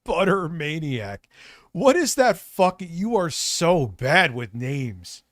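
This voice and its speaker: A man hosting a casual live-stream chat, with a raspy voice and a blunt, swearing way of talking. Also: fairly high pitch, sing-song, slow pace, a bit bright, no echo, clean studio sound. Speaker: host.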